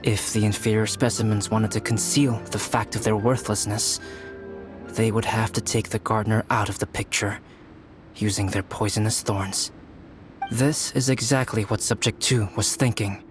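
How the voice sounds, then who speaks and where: An actor voicing a composed, thoughtful young male alchemist in a game, speaking in a calm, measured, soft-spoken way. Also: fairly low pitch, over music, lightly acted.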